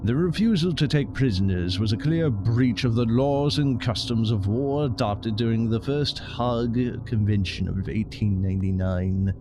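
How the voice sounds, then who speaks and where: A man giving casual, slightly hesitant commentary as he streams a game, with a creaky voice. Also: mid-range voice, moderate pace, few pauses. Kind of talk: casual game commentary, reading on-screen text aloud.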